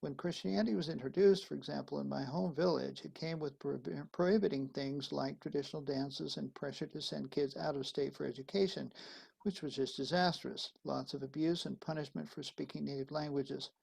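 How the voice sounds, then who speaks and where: A man's voice: fairly high pitch, fairly even intonation, brisk, gravelly, a little mellow, breathy edge, rough recording. A man, a priest, reading a letter aloud and hosting guests in a sermon conversation on a video call. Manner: reads a letter aloud, then hosts and thanks guests. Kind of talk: live-streamed sermon conversation.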